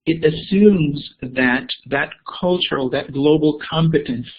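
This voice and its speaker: Keynote speaker, man from Costa Rica, hesitant, husky voice, phone-quality audio. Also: fairly high pitch, measured pace. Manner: hesitant, many ums and repeated words. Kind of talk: webinar presentation.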